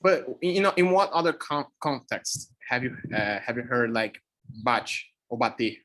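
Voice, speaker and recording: A man's voice: mid-range voice, expressive, unhurried, fairly bright tone, poor audio. A young man whose first language is Brazilian Portuguese, speaking English with a Brazilian accent in a casual chat, thinking aloud and searching for words as he goes. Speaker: co-host teaching expressions.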